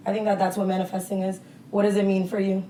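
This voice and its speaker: A young woman co-hosting a casual podcast, a little hoarse, talking earnestly. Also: medium pitch, completely flat delivery, fast talker, warm tone, reverberant, recorded from a distance, slight background noise. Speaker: podcast co-host. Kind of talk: casual chat.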